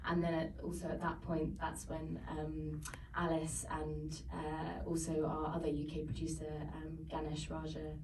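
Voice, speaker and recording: Low, flat-voiced British woman. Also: moderate pace, background noise. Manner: hesitant, many ums and restarts. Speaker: filmmaker on a panel. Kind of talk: Q&A answer at a screening. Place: venue hall. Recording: roomy hall, picked up from far off.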